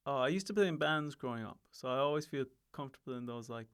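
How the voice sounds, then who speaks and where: A man's voice: mid-range voice, animated, fast talker, fairly bright tone, clean tone. A young Irish man, a comedian guest chatting casually on a podcast, often restarting his sentences. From Ireland. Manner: rambling, self-interrupting, frequent restarts and laughs.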